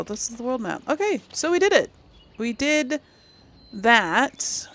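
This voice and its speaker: A woman's voice: mid-range voice, gravelly, a bit bright. A woman giving casual let's play commentary, thinking aloud as she goes. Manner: thinking aloud, reading quest text aloud.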